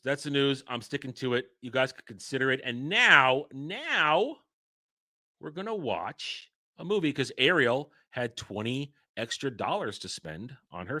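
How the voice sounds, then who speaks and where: A man hosting a casual livestream, rambling and excitable, restarting his sentences often. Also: medium pitch, no echo, clean studio sound. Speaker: livestream host.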